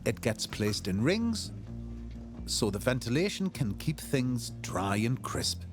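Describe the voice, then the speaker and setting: A man's voice: medium pitch, big pitch swings, slow, over music. A man narrating a TV travel and food show in scripted voice-over.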